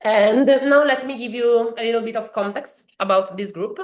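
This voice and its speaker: A woman with an Italian accent, a native Italian speaker, walking through a software demo in a webinar and explaining each step, with frequent fillers and restarts. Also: fairly high pitch, normal speed, roomy sound. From Italy. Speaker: software trainer.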